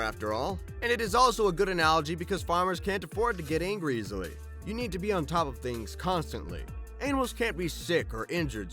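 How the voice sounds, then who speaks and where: A man's voice: a little high, a bit bright. A man hosting a scripted fan-lore video, telling it in a theatrical, wry way with joking asides. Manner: theatrical, wry storytelling delivery. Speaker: video host.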